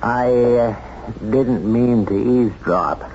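On a muffled old radio recording with faint noise, a kindly small-town sheriff. He is plain and earnest, with a mid-pitched male voice in vintage drama style. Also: slow. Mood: concerned. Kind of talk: 1970s radio drama acting.

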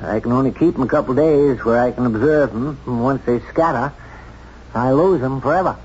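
An American man acting a plain-spoken, self-doubting small-town sheriff in a 1970s radio drama, with a folksy, halting, candid delivery. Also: mid-range voice, a little mellow, natural voice. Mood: brisk, steady. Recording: dull, noisy 1970s radio drama recording.

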